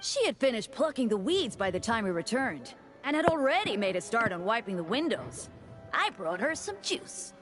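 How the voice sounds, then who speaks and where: A woman's voice: fairly high pitch, sing-song, moderate pace, music in the background. A woman playing a devout, polite young male deacon with an impish streak in a video game, speaking formally and earnestly. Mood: mildly sheepish. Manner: formal, courteous, playfully earnest. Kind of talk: scripted video game dialogue.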